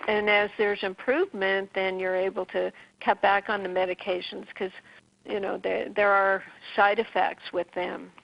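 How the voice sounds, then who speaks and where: A woman from Newfoundland, Canada, hosting a call-in radio show and giving brisk advice to a caller. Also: mid-range voice, level tone, vocal fry, no room sound. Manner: brisk, advising, many restarts. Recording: dull lo-fi radio sound.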